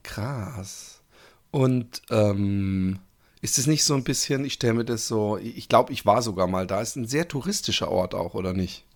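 A man's voice: lowish voice, normal speed. A man hosting a podcast in casual interview chat, joking and chatty with many fillers. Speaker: podcast host.

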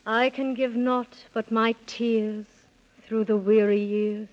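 An actress playing a gentle, solemn fairy queen who speaks in measured, stately verse in a 1930s children's radio serial. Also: on the high side, fairly even intonation. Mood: sorrowful, solemn. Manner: stately, measured rhyming speech. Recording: muffled old 1930s radio recording.

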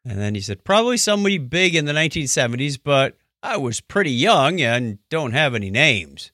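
A man co-hosting a casual podcast, reading listener comments aloud with a jokey, animated manner. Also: medium pitch, brisk, no room sound, clean studio sound. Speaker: podcast co-host.

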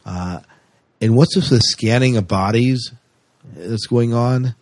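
Guy hosting a casual podcast, kinda raspy low voice, really dry close sound. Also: slow, darkish tone, no room sound. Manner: chatty, enthusiastic, asks his co-host questions. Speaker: podcast co-host. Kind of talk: casual sci-fi news chat.

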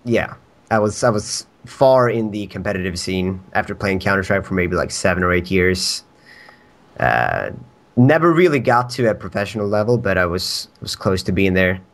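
A man's voice: deep voice, animated, moderate pace, fairly bright tone. A man from Sweden with a Swedish accent, a podcast guest chatting casually, rambling, laughing often and swearing.

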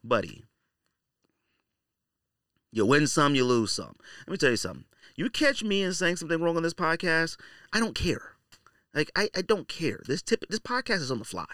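A man's voice: a little high, very animated, normal speed, pauses often, pushed and bright, no room sound, clean studio sound. A man hosting a casual, off-the-cuff podcast monologue, talking in a slangy, blunt, conversational way. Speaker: sneaker podcast host.

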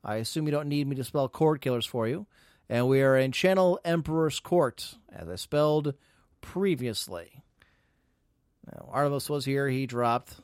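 A man's voice: mid-range voice, animated, moderate pace, a little mellow, clean tone, dry recording, studio quality. A man hosting a live podcast, chatting casually, rambling and teasing with mock boasts. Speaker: podcast host.

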